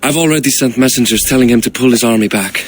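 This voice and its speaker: An actor playing a bold, gruff and sardonic Norse king in a video game. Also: mid-range voice, fast, slight background noise, lightly acted. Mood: wry command. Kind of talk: cinematic video game acting.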